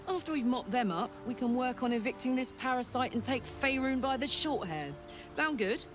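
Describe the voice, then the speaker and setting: A woman's voice: high voice, animated, normal speed, over music. An actress playing a brash, fierce female warrior escaped from hell in a video game, blunt, sweary and informal in manner. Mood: confident, eager. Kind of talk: video game character acting.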